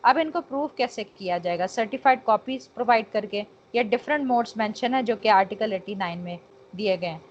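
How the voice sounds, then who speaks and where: A woman's voice: medium pitch, a little fast. A woman with a Pakistani accent teaching law in an online lecture, explaining with English terms mixed in and checking that students follow. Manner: checks understanding, frequent tag phrases. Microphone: online call. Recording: dull, noisy online call audio.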